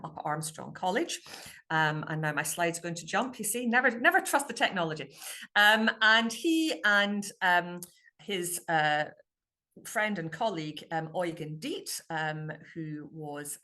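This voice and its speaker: A woman presents her research in an online talk over a video call. Her tone is bright and forward, and her pitch sits a little low. She hesitates constantly, putting an "um" in front of nearly every phrase. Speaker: university dialect researcher giving a talk. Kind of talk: online presentation to a local society.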